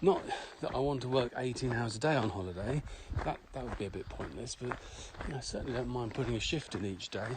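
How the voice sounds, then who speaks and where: A man's voice: mid-range voice, fast talker, few pauses. A man co-hosting a podcast in casual conversation, speaking in an opinionated, emphatic way with repeated phrases. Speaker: podcast co-host. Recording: clearly noisy background with clicks.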